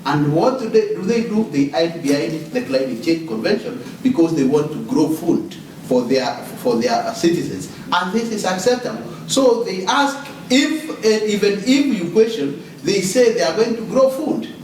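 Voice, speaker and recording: A man's voice: high-pitched, big pitch swings, normal speed, hardly any pauses, a little mellow, a little hiss or hum. A man from Kenya asking a question from the floor at a panel, hesitant, with many fillers and restarts. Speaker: audience member. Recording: echoey hall, far from the mic.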